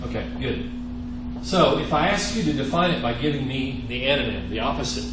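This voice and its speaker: A man with Italian as his first language lectures to students on faith. He speaks earnestly and emphatically, pausing to quiz them. His voice carries the echo of the classroom, with clear noise behind it. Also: medium pitch, animated. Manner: earnest, emphatic, quizzing listeners with rhetorical questions. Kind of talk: interactive religious lecture. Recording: roomy, echoey classroom with clear background noise.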